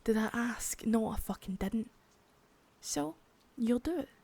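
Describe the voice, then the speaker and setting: A woman voicing a sadistic, menacing female boss in an ASMR roleplay, whispering softly with spoken threats between. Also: on the high side, measured pace, vocal fry, slightly rough, no echo, full character voice. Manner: taunting and threatening.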